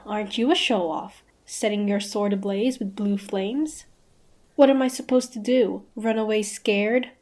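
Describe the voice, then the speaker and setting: Woman acting a proud female monster hunter, unhurried. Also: mid-range voice, a little mellow, lightly acted. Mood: taunting, confident. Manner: haughty, taunting, dramatic. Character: proud, defiant young female monster hunter, grudgingly curious. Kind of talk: fantasy audio roleplay acting.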